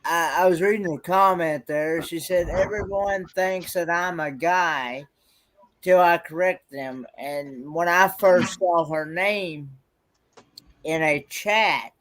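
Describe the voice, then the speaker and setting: A man's voice: fairly high pitch, takes it slowly, a bit bright. A man chatting casually on a livestream in a polite, hesitant, rambling way.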